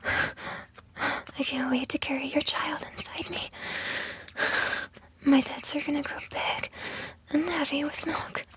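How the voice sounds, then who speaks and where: A woman performing a whispered intimate roleplay, breathy and sighing, coaxing the listener by turns with commands and soothing reassurance. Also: high voice, fairly even intonation, slow pace, pauses often, fairly bright tone, rough recording. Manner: breathy whispering with frequent sighs.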